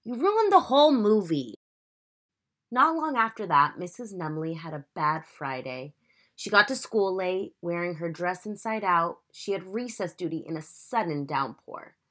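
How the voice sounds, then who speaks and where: A woman teacher reading a children's picture book aloud in an expressive, emphatic, instructive storytelling manner. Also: fairly low pitch, moderate pace.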